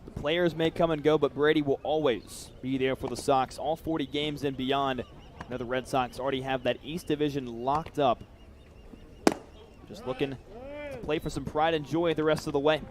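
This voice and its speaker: A man's voice: mid-range voice, steady tone, normal speed. A young American man giving live radio play-by-play commentary of a baseball game. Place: outdoor baseball stadium broadcast. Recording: live outdoor ballpark crowd noise. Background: crowd and outdoor ballpark ambience.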